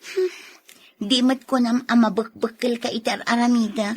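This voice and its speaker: A woman's voice: a little high, steady tone, normal speed, close to an everyday voice. A woman playing an upset wife scolding her man in a radio drama. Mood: angry.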